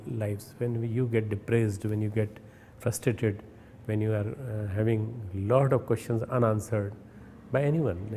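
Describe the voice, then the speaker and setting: A man with an Indian accent giving a recorded spiritual message, earnest and instructive, often pausing and restarting words. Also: medium pitch, dark tone, slight background noise. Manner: hesitant, repeats and restarts words. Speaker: spiritual leader.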